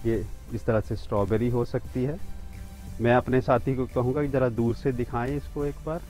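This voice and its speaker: A man's voice: medium pitch, steady tone, moderate pace, darkish tone, faint music behind, rough recording. A man in his fifties giving a walking tour of a rooftop vegetable garden outdoors, pointing out each plant plainly and steadily. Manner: pointing things out plainly and steadily, with small restarts. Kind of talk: walking demonstration, explaining to camera.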